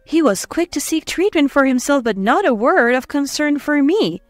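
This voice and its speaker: Brief: female narrator, higher voice, emotive scripted drama, dry sound with light music behind. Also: lively intonation, moderate pace, dry recording. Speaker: story narrator. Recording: dry close voice over faint music. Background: soft background music.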